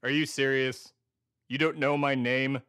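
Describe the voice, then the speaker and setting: A man playing a pompous, roguish gentleman with a New England accent and a haughty manner in an improvised comedy. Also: mid-range voice, level tone, smooth. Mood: haughty amusement. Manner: haughty, deliberate enunciation of his name.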